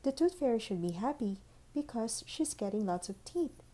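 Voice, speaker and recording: A woman's voice: a little high, expressive, moderate pace, dark tone, pitched above the performer's own voice. A woman voicing a curious, polite and eager little girl in a children's storybook. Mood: pleased, thoughtful. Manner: polite, questioning. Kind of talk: children's storybook read-aloud.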